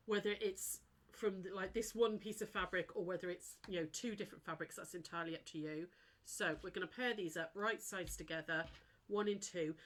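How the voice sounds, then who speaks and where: A woman's voice: on the low side, fairly bright tone. Snuffly woman teaching sewing live. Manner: chatty and apologetic, chats with live viewers while demonstrating. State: snuffly, blocked nose. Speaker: sewing teacher hosting a live craft demo. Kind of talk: casual live-stream tutorial.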